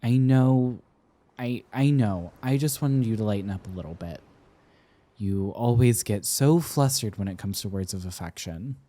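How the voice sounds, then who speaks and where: A young man playing an affectionate, teasing sweetheart smitten with an old friend, speaking tenderly and haltingly in an intimate roleplay ASMR style. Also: fairly low pitch, mellow, lightly acted. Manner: tender, playful, halting with pauses and repeats.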